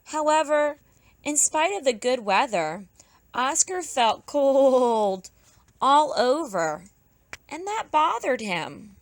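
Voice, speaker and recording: A woman teacher narrating a children's storybook aloud, expressively, with drawn-out emphasis for young listeners. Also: high-pitched, big pitch swings, no echo. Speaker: pre-kindergarten teacher.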